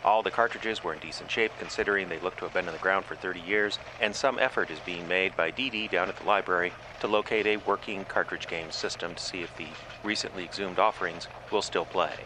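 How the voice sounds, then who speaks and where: An actor playing a deadpan small-town radio newsreader delivering a mock news report. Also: male voice, deep voice, fast, hardly any pauses, lightly acted. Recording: clear background ambient noise.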